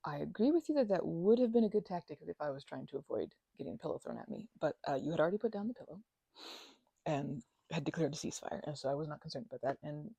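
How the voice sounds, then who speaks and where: Woman doing asmr roomie roleplay, breathy n kinda creaky, swoopy pitch, playful teasing, trips over words. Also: animated, dark tone, dry recording. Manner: playful teasing, stammering, halting. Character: chatty affectionate female housemate recovering from migraine. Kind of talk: ASMR roleplay, one-sided casual chat.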